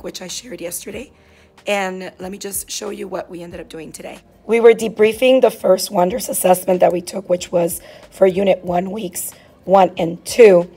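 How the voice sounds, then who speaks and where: A hoarse woman in her forties talks to her phone at arm's length from a classroom. Her voice is strained and rough, and her delivery is chatty and easygoing, a teacher catching viewers up on her day. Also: medium pitch, warm tone, slight background noise. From South Florida. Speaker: fourth grade teacher. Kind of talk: casual vlog talk to camera. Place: classroom and car, indoors. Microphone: phone held at arm's length.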